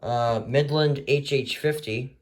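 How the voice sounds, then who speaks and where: A man talking casually and off the cuff as a vlogger showing his collection at home, in a low-key, offhand way. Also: fairly even intonation, slow pace. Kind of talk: casual unscripted show-and-tell. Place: indoors, rooms at home.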